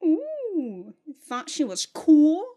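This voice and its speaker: A woman's voice: sits high, huge pitch swings, extremely slow, warm tone. Casual woman giving reaction commentary. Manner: informal, slangy, reactive interjections. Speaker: reaction video host.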